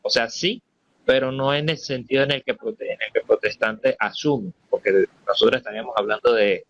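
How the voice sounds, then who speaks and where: A man's voice: mid-range voice, brisk, fairly bright tone, highs cut off. A man taking part in a spontaneous religious discussion, searching hesitantly for precise wording as he reasons. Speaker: debate participant.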